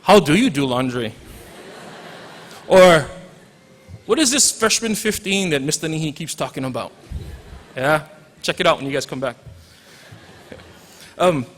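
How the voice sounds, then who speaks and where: A man's voice: a little high, a bit bright. A man in his forties from Hawaii with a Hawaiian accent, a teacher giving an informal graduation speech with joking asides and bits of Pidgin. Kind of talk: commencement speech. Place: large hall. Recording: reverberant hall audio.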